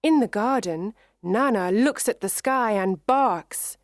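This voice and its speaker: A woman reading a children's story aloud in clear, measured narration for English learners. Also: medium pitch, animated, no echo, clean studio sound.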